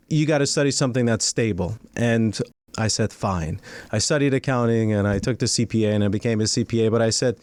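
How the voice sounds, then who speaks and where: A man's voice: medium pitch, a little mellow, smooth, no room sound. A man, a lawyer and guest, telling his life story in a studio podcast interview, articulate and earnest.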